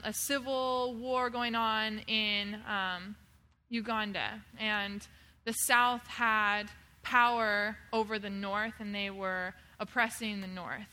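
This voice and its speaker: Young woman running a q&a, bright higher voice, chatty and upbeat. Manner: upbeat, chatty, many fillers. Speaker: event host. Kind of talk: hosting audience Q&A.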